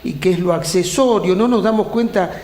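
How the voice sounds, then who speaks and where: A man with a high-pitched voice and an Argentine accent hosts an interview, laying out his points emphatically in lists peppered with interjections. His voice carries a roomy echo. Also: brisk, a little mellow, a little hiss or hum. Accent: Argentine Spanish. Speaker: TV interview host. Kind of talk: interview questions and commentary.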